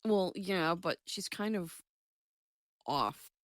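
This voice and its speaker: A woman co-hosting a podcast with casual banter, animated and playful, swearing freely. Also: lowish voice, slow pace, dry recording, studio quality. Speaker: podcast co-host.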